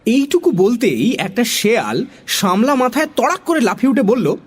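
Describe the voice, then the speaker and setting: Animated woman narrating a children's story. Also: fairly high pitch, moderate pace, slightly rough.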